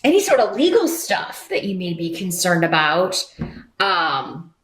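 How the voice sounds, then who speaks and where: A woman's voice: on the low side, very animated, a little slow, a bit bright. A woman giving an unscripted tarot reading to viewers in a conversational, rambling, reassuring way. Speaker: tarot reader and channel host. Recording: roomy echo.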